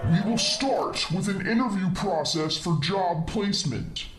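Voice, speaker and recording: A man's voice: sits high, a little slow, music in the background, a crowd in the background. A man playing a pompous, commanding villain overlord who bosses his evil guild in an audio comedy drama. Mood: grandiose. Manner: pompous, commanding, grandiose speechmaking. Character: pompous male villain overlord, bossy and exasperated guild master. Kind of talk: role-played comedy drama. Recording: echoey room, clearly noisy ambience behind.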